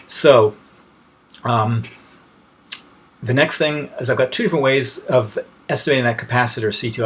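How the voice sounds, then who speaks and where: A man with a mid-pitched voice delivers an informal technical lesson. He talks like a lecturer working through steps aloud, often pausing mid-sentence to find the next word. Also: a bit bright.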